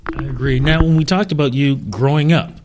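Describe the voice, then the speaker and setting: A male interviewer at a middle pitch talks easily with his guest. He asks friendly questions and trades personal stories between them. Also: quick pace. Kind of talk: casual interview chat.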